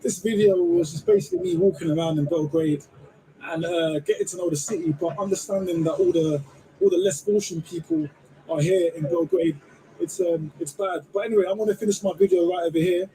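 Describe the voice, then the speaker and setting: A man's voice: a little high, brisk, mellow, slight background noise, rough recording. A man vlogging as he walks a city, chatting with a stranger outdoors. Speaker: traveling vlogger. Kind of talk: street interview.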